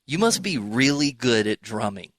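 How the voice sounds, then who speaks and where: Male interview host, mid pitch, sharp bright tone, dry close recording, casual show patter. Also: sing-song, normal speed, no room sound. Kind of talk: casual radio-style interview.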